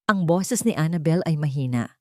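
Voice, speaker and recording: A woman's voice: sits low, animated, moderate pace, dry recording, studio quality. A woman reading a dramatic romance story aloud as narrator. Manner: dramatic storytelling, reads all parts herself.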